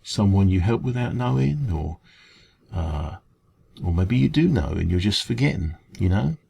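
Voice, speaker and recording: A man with an English accent playing a kind café dragon in an ASMR roleplay, talking gently and reassuringly in a creaky voice. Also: deep voice, expressive, normal speed, a little hiss or hum, heavily acted. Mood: encouraging, gentle. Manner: gentle, reassuring, hesitant conversational encouragement. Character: kind, caring male dragon who runs a café. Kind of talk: ASMR roleplay, comforting one-to-one talk.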